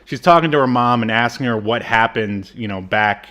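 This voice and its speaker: A man's voice: medium pitch, moderate pace, pushed and bright. A man hosting a podcast, casually recapping an old TV show in a jokey, irreverent way. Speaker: podcast host.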